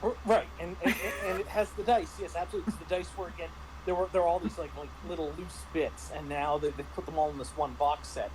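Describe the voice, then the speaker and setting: A man in a casual review discussion, talking haltingly with repeated words and false starts. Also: fairly high pitch, fairly even intonation, background noise. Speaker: panelist reviewing a tabletop roleplaying game.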